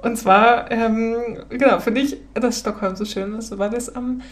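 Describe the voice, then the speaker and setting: Female, early 30s, northern German, mid-pitched, casual podcast co-host, giggly delivery. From Hanover, northern Germany. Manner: giggly, laughs through her talk. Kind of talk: casual chat between two co-hosts.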